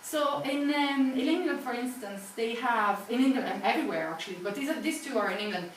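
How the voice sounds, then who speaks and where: A woman's voice: a little high, brisk. A woman from Italy with an Italian accent, giving an informal talk to a live audience in a chatty, self-deprecating way, full of fillers and restarts. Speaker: guest speaker. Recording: echoey room from a distance, faint background hiss.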